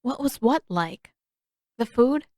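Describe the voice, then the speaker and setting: A woman voicing an exasperated, sharp-tongued fourteen-year-old girl in an audiobook, challenging and sarcastic. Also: on the high side, lively intonation, slow, dry recording, clean studio sound, voice pushed up. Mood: guarded. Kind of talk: audiobook character voice.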